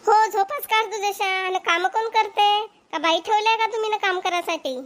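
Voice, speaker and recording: A woman's voice: extremely high pitch, steady tone, normal speed, a bit bright. A woman playing a spoiled, argumentative young wife in a cartoon, whiny and defiant, with a Vidarbha Marathi accent. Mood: defensive. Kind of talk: amateur cartoon voice acting.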